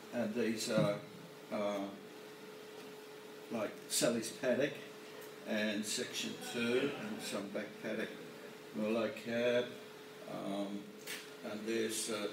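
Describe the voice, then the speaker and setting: A middle-aged man, a winemaker, explaining casually to camera in a winery barrel room, relaxed and full of ums and uhs. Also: on the low side, takes it slowly, long pauses, background noise. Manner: relaxed, filler-heavy, hesitant. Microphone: camera mic from a few metres off. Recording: echoey cellar, distant camera mic.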